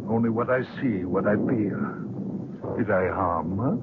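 Slow, very old man. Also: lowish voice. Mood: humble, weary. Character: very old, humble, mysterious man. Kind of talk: 1970s radio drama acting. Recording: noisy, tinny 1970s radio recording.

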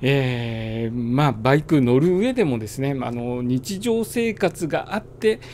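Male motovlogger, calm chatty explainer, warm mellow tone, light wind hiss under the voice. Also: mid-range voice, a little slow. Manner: relaxed, filler-heavy, explanatory. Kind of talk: casual riding monologue. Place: riding a motorbike on suburban roads.

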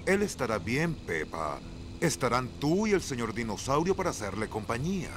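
A man's voice: mid-range voice, big pitch swings, moderate pace, background noise, natural voice. A man voicing an easygoing, reassuring daddy pig in a children's cartoon. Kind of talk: children's cartoon dub acting.

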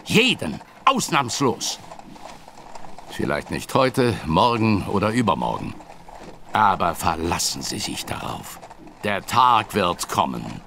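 A man's voice: sits low, sing-song, takes it slowly, creaky, sharp tone, slight background noise, full character voice. An actor playing a ruthless, vengeful aristocrat and grieving father who makes cold, clipped threats in a radio drama. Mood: menacing. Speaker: villain.

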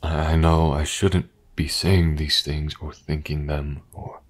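An actor playing a brooding, vengeful detective in an intimate audio roleplay, muttering haltingly, often near a whisper. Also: male voice, rumbling low, level tone. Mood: weary, subdued. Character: brooding, vengeful, grief-stricken male detective.

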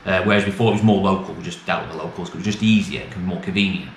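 Fast-talking British man, echoey room. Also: low voice. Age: middle-aged. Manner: rambling, matter-of-fact, colloquial. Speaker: used car dealer and vlogger. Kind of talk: casual chatty business update to camera. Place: small bare indoor office. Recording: echoey small room, clear background noise.

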